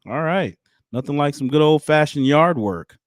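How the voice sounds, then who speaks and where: A man's voice: a little high, animated, moderate pace, no echo, studio quality. A man in his thirties hosting a casual live-stream interview, chatty and loose, laughing easily. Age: 30s. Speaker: livestream host.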